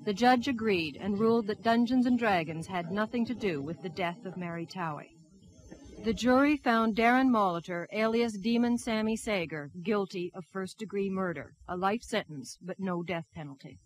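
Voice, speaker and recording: A woman's voice: medium pitch, normal speed, slight background noise, low-quality recording. Woman narrating a serious news documentary. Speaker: television news reporter.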